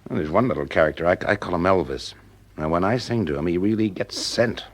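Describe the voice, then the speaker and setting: A man's voice: deep voice, animated, fast talker, a little hiss or hum. An actor playing a wry, easygoing male private eye working undercover in a radio detective drama, probing with hesitant, dry remarks. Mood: wry, playful. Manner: hesitant, probing, dryly humorous.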